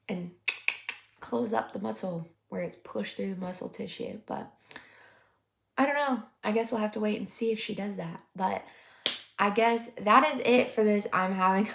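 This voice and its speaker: A woman's voice: mid-range voice, a bit bright. Young woman reaction host, sarcastic and mocking, chatty, roomy echoey sound. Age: 20s. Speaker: commentary YouTuber. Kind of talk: reaction commentary on a vlog clip. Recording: roomy echo.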